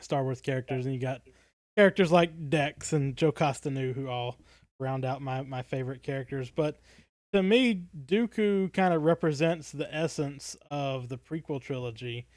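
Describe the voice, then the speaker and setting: A man co-hosting a casual fan podcast, talking in a halting, thoughtful way that circles back over his phrases. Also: medium pitch, a little mellow, dry recording. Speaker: podcast co-host.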